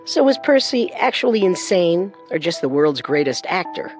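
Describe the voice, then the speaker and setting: A woman co-hosting a scripted true-crime program, speaking in a serious, deliberate way. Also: lowish voice, very animated, a little mellow, faint music behind.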